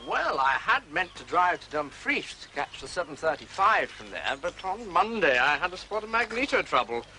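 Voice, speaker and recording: An actor playing a blunt, slightly uneasy gentleman witness, clipped and defensive, in a period detective drama. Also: sits high, big pitch swings, moderate pace, slight background noise, full character voice. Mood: matter-of-fact.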